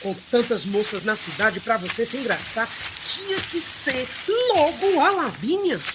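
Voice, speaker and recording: A woman's voice: fairly high pitch, lively intonation, unhurried, noisy background. A woman playing a stern, indignant heroic father scolding his son, theatrically, in a radio drama. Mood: angry scolding.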